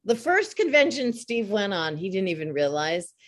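A woman's voice: mid-range voice, very animated, moderate pace. A woman from Chicago, a voice actor and podcast guest, telling stories animatedly, acting out quotes and swearing freely. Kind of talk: casual storytelling interview.

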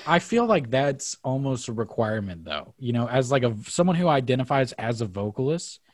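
A man's voice: medium pitch, big pitch swings, brisk, dry recording, clean studio sound. A young man hosting an interview podcast, conversational and enthusiastic, with plenty of "you know"s. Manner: enthusiastic, conversational, many fillers. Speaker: podcast host. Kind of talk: casual interview chat.

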